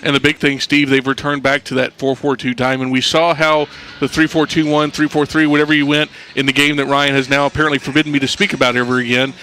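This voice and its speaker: A man's voice: mid-range voice, flat delivery, fast talker, pushed and bright, a little hiss or hum, low-quality recording. A man hosting a radio soccer pregame show, reading the lineups and putting questions to his analysts. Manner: reads lineups, then poses questions to the analysts. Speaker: pregame host.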